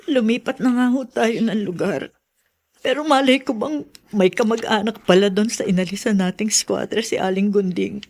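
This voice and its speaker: Wistful, aggrieved mother, played by a woman, medium-pitched voice, Filipino radio drama. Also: normal speed, a little mellow, natural voice. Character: wistful, aggrieved adoptive mother missing her child.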